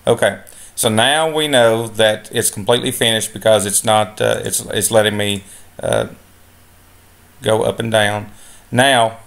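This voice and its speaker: A man giving a step-by-step software lesson over a screen recording, relaxed, with many filler sounds. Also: lowish voice, measured pace, creaky. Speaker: instructor.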